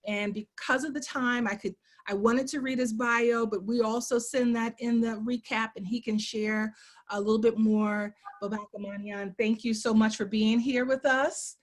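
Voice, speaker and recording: A woman's voice: fairly high pitch, steady tone, normal speed. A woman hosting a webinar on a video call, upbeat and encouraging as she introduces the next speaker. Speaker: webinar host. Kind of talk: casual introduction.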